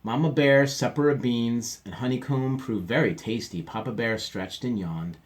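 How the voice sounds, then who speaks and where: A man teacher reading a picture book aloud to children, stopping for gentle chatty asides. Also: medium pitch, moderate pace.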